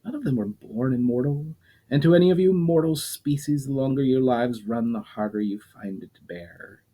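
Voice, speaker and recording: A man's voice: medium pitch, normal speed, mellow, pitched above the performer's own voice, lightly acted. A man reading a story aloud, voicing an ancient, scornful immortal demon who lectures coldly and derisively. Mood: cold lecturing.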